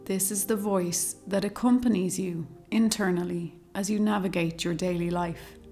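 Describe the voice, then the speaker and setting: Calm woman guiding a meditation over music. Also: lowish voice. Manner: slow, calm, measured with long pauses. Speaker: psychologist leading a guided meditation. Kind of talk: guided meditation, soothing scripted narration. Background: meditation music with singing bowls.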